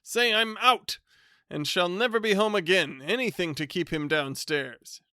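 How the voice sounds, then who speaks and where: A man's voice: sits high, a little slow, sharp tone, clean tone, dry recording, studio quality, pitched above the performer's own voice. Man doing a stammering prim bachelor. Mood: flustered. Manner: stammering, flustered. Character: fussy nervous prim bachelor.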